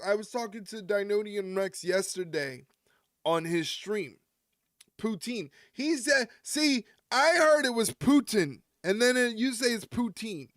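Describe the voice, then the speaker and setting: A man's voice: sits high, a bit bright, dry recording, studio quality. A man hosting a wrestling live stream, rambling and joking with his chat and often chuckling. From United States.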